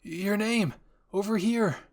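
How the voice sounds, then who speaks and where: A man's voice: high-pitched, slow, voice pushed up. A man voicing a young male soldier who is earnest, alert and kind, with an inquisitive manner, in an anime fan roleplay. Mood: urgent.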